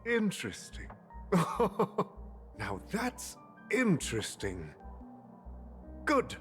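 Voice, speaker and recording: An actor playing a shrewd, theatrical businessman in a video game, with a drawn-out, exaggerated, slyly playful delivery. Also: a little high, huge pitch swings, a bit bright, full character voice. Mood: slyly amused. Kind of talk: scripted video game voice acting. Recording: music behind the voice. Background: game music.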